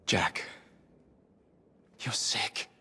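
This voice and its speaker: A man's voice: very slow, heavily acted. An actor playing a defiant young male assassin who confronts a killer. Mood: pleading. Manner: shouting, defiant.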